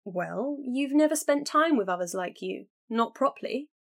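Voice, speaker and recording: A woman's voice: on the high side, lively intonation, normal speed, creaky, voice pushed up. Woman voicing an eager, pleading young sister. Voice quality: bright, urgent and persuasive. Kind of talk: audiobook character voice.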